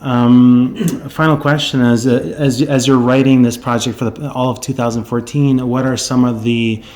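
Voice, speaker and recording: A man interviewing a filmmaker, asking halting, searching questions and murmuring agreement. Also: medium pitch, flat delivery, darkish tone. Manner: halting questions, frequent backchannel.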